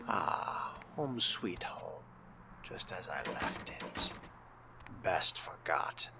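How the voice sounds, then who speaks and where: Man playing a deep, haughty vampire fledgling. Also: very animated, gravelly, very noisy, exaggerated voice. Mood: wry. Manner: deliberate, theatrical, formal phrasing. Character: composed, haughty male fledgling vampire, formal and wry. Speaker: role-playing game player. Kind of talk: in-character gameplay narration.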